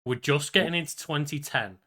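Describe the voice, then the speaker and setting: A man's voice: a little high, fairly quick, pushed and bright. A man co-hosting a podcast in casual, conversational banter. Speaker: podcast co-host.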